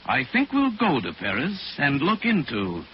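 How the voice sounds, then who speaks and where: An actor playing a calm, authoritative crime-fighting detective in 1940s radio style, with measured, formal, explanatory delivery. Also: male voice, fairly high pitch. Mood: composed, thoughtful. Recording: noisy, muffled 1940s radio recording.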